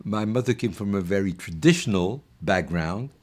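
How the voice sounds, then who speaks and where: A man's voice: on the low side, lively intonation, moderate pace. A man, describing his family in a composed, orderly way. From Belgium. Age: 80s. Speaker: interviewee.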